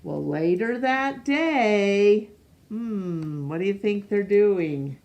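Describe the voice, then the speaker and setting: A woman reading a picture book aloud to young children as a storyteller, expressively and with pauses for questions. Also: mid-range voice, lively intonation, a little mellow.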